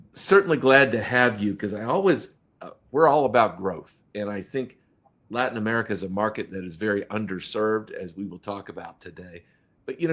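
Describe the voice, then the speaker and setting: Low-voiced man hosting a business podcast. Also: a bit bright. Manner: halting, many fillers and restarts. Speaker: podcast host. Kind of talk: casual interview chat.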